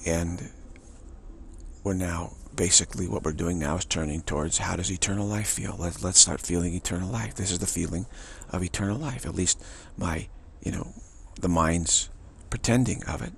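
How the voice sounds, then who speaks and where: A man with a deep voice giving a rambling spiritual monologue, often repeating words and chuckling. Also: fairly even intonation, moderate pace, gravelly, a little hiss or hum.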